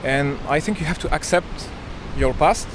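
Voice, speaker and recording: A man from Europe gives an earnest, thoughtful answer in a street interview. His voice sits a bit high. Street and traffic noise is clearly heard around him. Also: normal speed.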